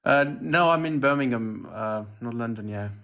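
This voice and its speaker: A man's voice: mid-range voice, normal speed, fairly bright tone, clear, resonant tone. A man in his twenties from Afghanistan, a livestream guest on audio only, chatting casually with many fillers and restarts. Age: 20s. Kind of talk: casual chat. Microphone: audio only, camera off.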